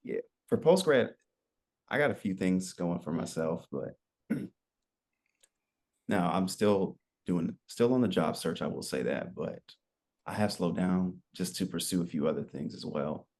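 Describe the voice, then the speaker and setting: Young man, podcast guest, deep voice, gravelly, loose and rambling. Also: a little slow. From United States. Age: 20s. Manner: rambling, relaxed storytelling with fillers. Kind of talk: casual interview chat.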